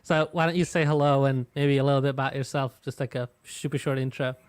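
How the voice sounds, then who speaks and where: A man hosting a casual company live stream, chatty and relaxed, laughing easily. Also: medium pitch, quick pace, clear, resonant tone, no room sound.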